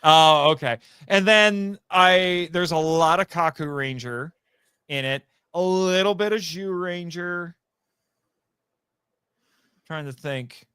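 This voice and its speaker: A man with a slightly high, crisp and clear voice talks casually in a very dry-sounding recording. He is an animated guest who interrupts himself and works out his thoughts aloud as he goes. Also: slow pace, pauses often, no room sound. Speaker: guest, audio drama writer and voice actor. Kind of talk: casual fan chat.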